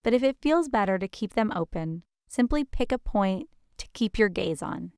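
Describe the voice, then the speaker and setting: A woman leads a guided meditation in a gentle, soothing, invitational manner with deliberate pauses. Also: mid-range voice, animated, vocal fry, clear, resonant tone, no echo, studio quality.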